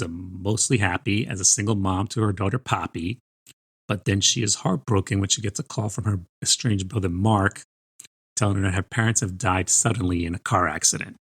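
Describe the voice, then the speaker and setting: A man's voice: low-pitched, a bit bright, husky. A man co-hosting a book podcast, giving a conversational, good-humoured review.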